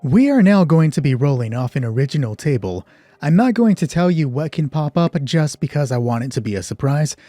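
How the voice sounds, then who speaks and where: A man's voice: a little high, animated, fairly quick, warm tone, dry recording, faint music behind. A man narrating a solo tabletop roleplay as game master, thinking aloud in a conversational way.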